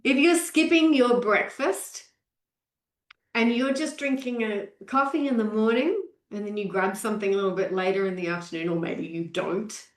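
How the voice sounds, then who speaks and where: A woman's voice: mid-range voice, normal speed, echoey room. A woman in her forties, a nutrition coach, hosting a live-stream training and greeting viewers by name in an upbeat way. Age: 40s.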